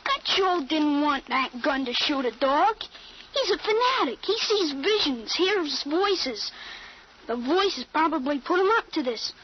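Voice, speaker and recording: High-voiced precocious boy, old radio. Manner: articulate, assured, adult vocabulary. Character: precocious thirteen-year-old boy, earnest, cool-headed, secretly adult-minded. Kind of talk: old-time radio drama acting. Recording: muffled, noisy old radio recording.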